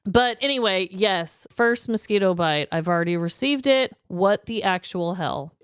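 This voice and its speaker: A woman's voice: mid-range voice, animated, measured pace, smooth, no room sound, clean studio sound. A woman co-hosting a podcast with casual comedic banter and a playfully mock-dramatic way of talking. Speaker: podcast co-host.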